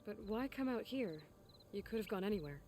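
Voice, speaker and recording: An actress playing a calm, capable young heroine in a video game, speaking in a direct, inquisitive way. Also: female voice, mid-range voice, brisk, a little hiss or hum, close to an everyday voice. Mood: probing. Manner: direct, probing. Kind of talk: video game character acting. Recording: faint music behind the voice.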